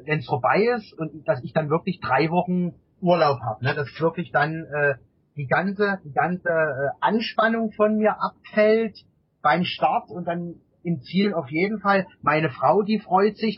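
Husky middle aged guy, bit higher pitched, chatting and stumbling over words, dull lo-fi sound. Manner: halting, repeats words while searching for phrasing. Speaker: interview guest, amateur endurance cyclist. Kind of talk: casual conversational interview answers. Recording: dull, lo-fi interview audio.

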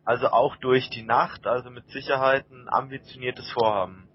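A man's voice: medium pitch, fairly even intonation, moderate pace, slight background noise. Casual male interviewer, dull lo-fi sound. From Baden-Württemberg, Germany. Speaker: interviewer, host.

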